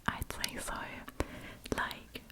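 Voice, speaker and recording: A woman hosting an ASMR storytime, reading and chatting in a soft, breathy whisper with a gossipy, friendly manner. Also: drawn-out words. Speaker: host of a storytime channel.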